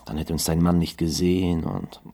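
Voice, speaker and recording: An actor playing a timid, guilt-ridden young man in love in a radio crime drama, halting and earnest, with a husky voice. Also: low voice, animated. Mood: sad, wistful. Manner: hesitant, halting, soft-hearted.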